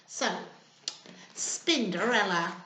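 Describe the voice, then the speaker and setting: A woman's voice: mid-range voice, expressive, takes it slowly, noisy background. A woman reading a picture book expressively to young children, prompting them with simple questions. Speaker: children's storyteller. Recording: roomy, echoing indoor sound.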